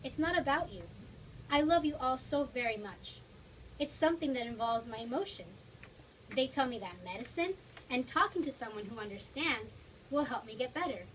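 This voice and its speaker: A woman voices a troubled teenage girl, gently reassuring a younger child in a storybook drama. The recording is distant, with faint noise around the voice. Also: on the high side, lively intonation, moderate pace. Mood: gentle, sad. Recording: distant mic, noisy room.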